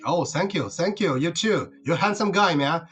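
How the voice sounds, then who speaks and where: A man's voice: a little high, animated, normal speed, fairly bright tone, breathy edge. A man from China whose first language is Uyghur, chatting with strangers online in a friendly, teasing way and asking lots of questions. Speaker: participant in an online English practice chat. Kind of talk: casual chat.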